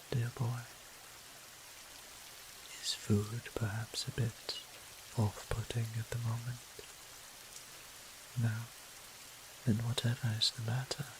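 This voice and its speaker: A man playing a gentle, doting vampire priest and healer in a roleplay audio drama, speaking softly in formal, old-fashioned, courteous phrasing. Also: on the low side, noisy background. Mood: caring, apologetic, then attentive, soothing. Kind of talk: scripted roleplay audio drama, addressing the listener.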